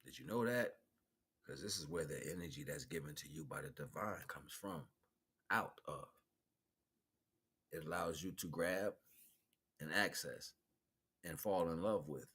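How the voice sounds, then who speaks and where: An American man hosting a livestream, giving an informal spiritual monologue in an animated, colloquial, preaching way with repeated phrases. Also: rumbling low, unhurried, long pauses, breathy edge. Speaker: livestream host.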